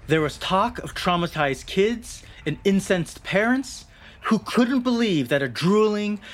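Man, young adult, slightly high voice, scripted documentary narration, dramatic storyteller, soft music underneath. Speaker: narrator of a feature article.

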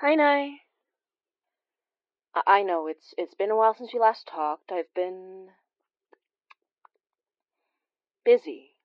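A woman's voice: medium pitch, animated, measured pace, dry recording, natural voice. An actress playing a rambling, self-deprecating Filipino immigrant woman who confides hesitantly and chattily in an audio drama monologue. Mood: sheepish, wry. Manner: hesitant, chatty, trailing off and correcting herself. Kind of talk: audio drama monologue as an intimate phone-call confession. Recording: slightly dull, dry close recording.

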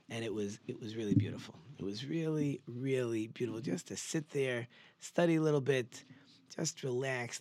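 Male, mid-pitched. Torah teacher, informal and conversational, stresses points by repeating them. Very dry recording. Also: a little mellow, dry recording. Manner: conversational, rhetorical questions, emphatic repetition. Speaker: religious teacher giving a Torah class. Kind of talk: informal sermon lecture.

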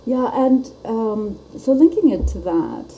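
A woman's voice: on the high side, sing-song, slow pace, warm tone, a little hiss or hum, poor audio. A woman hosting a live podcast chat, talking casually and laughing often. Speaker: podcast host.

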